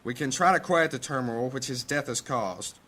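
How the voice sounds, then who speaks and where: Sharp, impatient mage. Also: male voice, medium pitch, animated, normal speed, husky. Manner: forceful, impatient. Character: blunt, hot-tempered mage councillor guarding his guild. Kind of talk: fantasy audio drama acting.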